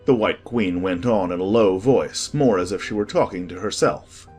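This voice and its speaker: A woman's voice: a bass voice, normal speed, darkish tone, soft background music. A woman narrator reading a scripted children's storybook as part of a dramatized audiobook.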